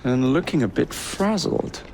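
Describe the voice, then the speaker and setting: An actor playing a brash, roguish young gang leader in a game cutscene, with a wry, swaggering, teasing manner. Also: male voice, medium pitch, lively intonation, measured pace, poor audio. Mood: wry, cocksure. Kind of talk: video game cutscene acting. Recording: music behind the voice.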